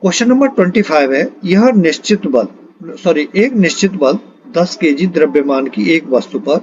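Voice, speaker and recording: A man's voice: on the high side, darkish tone, muffled recording. A man teaching an exam-prep lesson, reading out multiple-choice questions and their answers.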